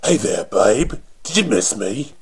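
A man voicing a cocky, flirty undead punk rocker monster for a game, with a raspy British accent and cheeky taunting slang. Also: lowish voice, sing-song, a little slow, a crowd in the background, full character voice. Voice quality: raspy, husky. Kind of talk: video game character voice demo.

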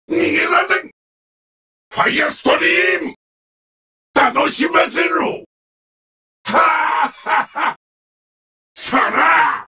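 An actor playing a sadistic, mocking combat-robot villain in a Japanese video game, with sneering, theatrical, drawn-out taunts. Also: male voice, very high voice, vocal fry, sharp tone, recorded from a distance, exaggerated voice. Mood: maniacal fury.

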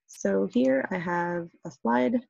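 A woman's voice: medium pitch, slow pace, creaky, darkish tone, no echo, dull audio. A woman teacher talking casually through a screen-recorded tutorial, step by step, with many okays.

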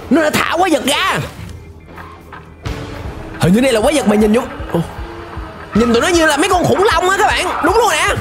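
Young guy w southern viet accent doing reaction commentary, real animated, music behind him. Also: very high voice, fairly quick, long pauses. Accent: southern Vietnamese. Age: young adult. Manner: animated, exclaiming. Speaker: reaction streamer. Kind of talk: casual reaction commentary to a cartoon. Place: indoor streaming room. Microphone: close desk microphone, headset on.

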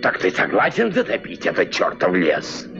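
A man's voice: sits low, huge pitch swings, moderate pace, creaky, heavily acted. A man voicing a tyrannical, hot-tempered cactus king in a dubbed cartoon, pompous and menacing in a theatrical way. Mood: scheming. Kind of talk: dubbed animated film acting. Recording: dull old film soundtrack with loud music.

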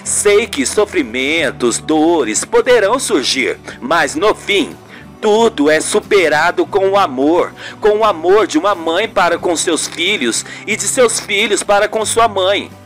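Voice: mid-range voice, expressive, a little slow, a bit bright, faint music behind. A performer voicing a tender, devout boyfriend in an amateur radio soap, reassuring in solemn, florid phrases. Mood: tender, earnest.

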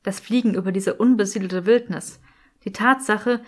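A woman's voice: mid-range voice, normal speed. A woman narrating a scripted story as an audiobook reader.

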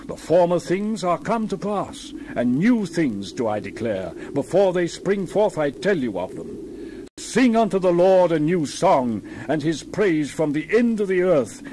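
A man's voice: a little high, a little mellow. Solemn man reading scripture dramatically, noisy background. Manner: solemn, declamatory, with dramatic emphasis. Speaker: Bible narrator.

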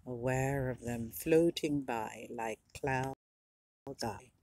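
A meditation guide speaking slowly and soothingly, with long pauses, leading a guided relaxation and reading affirmations aloud. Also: mid-range voice, gravelly, darkish tone. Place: outdoors near the sea.

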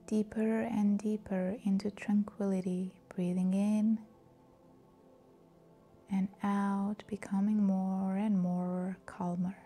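A woman leading a scripted guided meditation in a soft, breathy, soothing and calm voice. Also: medium pitch, takes it slowly, faint music behind.

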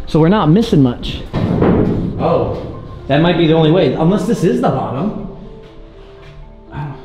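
A young man in his twenties talking excitedly to a handheld camera as he explores an abandoned sea fort, thinking aloud. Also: fairly high pitch, measured pace, over loud music. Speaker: urban explorer vlogger. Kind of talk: casual handheld vlog narration. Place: inside an abandoned sea fort, concrete corridors and rooftop.

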